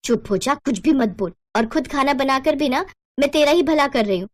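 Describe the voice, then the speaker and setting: A woman's voice: high-pitched, normal speed, natural voice. A woman voicing a bossy elder sister-in-law in a story, scolding in a stern, snappish manner.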